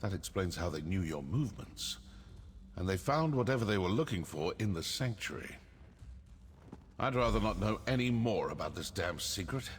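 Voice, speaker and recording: Low, sardonic assassin in cavernous reverb. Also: male voice, lively intonation, moderate pace, a little hiss or hum. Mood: wary, brooding. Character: male assassin of a dark brotherhood, wry and suspicious. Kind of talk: fantasy video game voice acting. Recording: cavernous reverb, faint game ambience.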